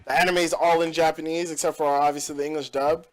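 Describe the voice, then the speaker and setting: A man's voice: fairly high pitch, flat delivery, quick pace. A young man hosting a casual gaming podcast, rambling and opinionated with lots of fillers. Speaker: podcast host. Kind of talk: casual chat.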